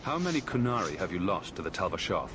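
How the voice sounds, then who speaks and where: A man's voice: low voice, very animated, fast talker, noisy background. An actor playing a direct, questioning male human adventurer in fantasy video game dialogue. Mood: skeptical. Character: adult male human adventurer, frank and challenging. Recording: game audio with clear background ambience.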